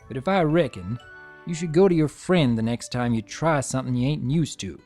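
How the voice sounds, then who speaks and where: A man's voice: medium pitch, a little fast, darkish tone, faint music behind, lightly acted. A man voicing a kindly, plain-spoken male cartoon farm pony with a folksy rural drawl. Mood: gentle, advising. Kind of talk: dramatic cartoon fan-fiction reading.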